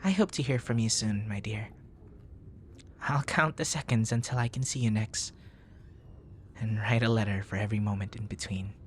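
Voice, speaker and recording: Man playing a soft-spoken, flirtatious bookish nerd. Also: on the low side, moderate pace, pauses often, slight background noise, natural voice. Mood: tender, teasing.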